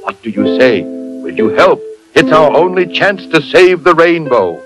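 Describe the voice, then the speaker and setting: A man's voice: fairly high pitch, big pitch swings, unhurried, music in the background, rough recording. A man playing a noble, earnest magic phoenix in a storybook tale, speaking with solemn formality. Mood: grave, pleading. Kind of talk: storybook character acting.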